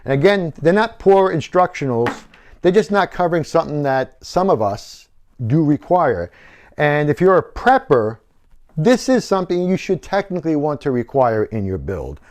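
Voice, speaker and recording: A man's voice: a little high, very animated, normal speed, darkish tone. A man presenting a hobby how-to in a practical, conversational way, explaining things informally as he goes.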